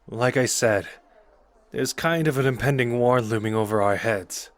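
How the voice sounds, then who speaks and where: A man's voice: medium pitch, normal speed, natural voice. A man playing a cocky, protective sorcerer and sarcastic rival in an anime audio roleplay, informal and sardonic with an earnest core.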